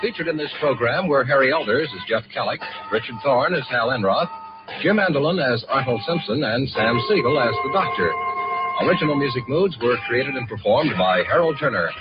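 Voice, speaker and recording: A male old-time radio announcer reads scripted credits with a very animated, mid-pitched voice. The recording is muffled, with loud music behind him. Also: a little fast.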